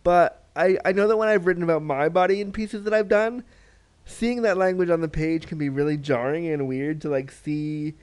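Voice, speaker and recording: A man's voice: on the high side, steady tone, moderate pace, a little mellow, clean tone. A man interviewing a guest, enthusiastic and empathetic, sometimes acting out inner thoughts in quotes. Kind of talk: conversational interview.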